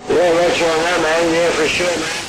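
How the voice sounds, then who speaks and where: A man's voice: fairly high pitch, flat delivery, a little slow. An Australian man rambling easily about his radio setup over the air. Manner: easygoing, rambling. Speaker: amateur radio operator. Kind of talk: casual radio chat. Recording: noisy, echoey long-distance radio signal.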